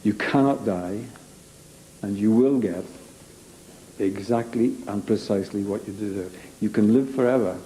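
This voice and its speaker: Very dark-voiced man, slow and halting. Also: on the low side, slight background noise, poor audio. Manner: halting, emphatic, repeats phrases for weight. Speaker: interviewee giving a personal account. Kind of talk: unscripted personal testimony.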